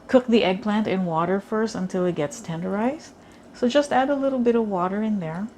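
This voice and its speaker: Slow-talking woman cooking in a noisy kitchen. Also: medium pitch, mellow. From Philippines. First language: Tagalog. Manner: chatty, thinking aloud with many fillers. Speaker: cooking vlogger. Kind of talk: casual cooking demonstration. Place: home kitchen. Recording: clear kitchen noise behind. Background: clatter of pots and cutlery.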